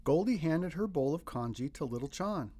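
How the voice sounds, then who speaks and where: A woman with a husky voice narrating a children's storybook aloud in an animated read-aloud style. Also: low-pitched, normal speed.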